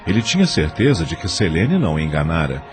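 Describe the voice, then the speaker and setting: Um homem com sotaque brasileiro narrando uma novela de áudio a partir de um texto. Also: male voice, low voice, very animated, quick pace, faint music behind. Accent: Brazilian. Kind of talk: scripted audio drama narration.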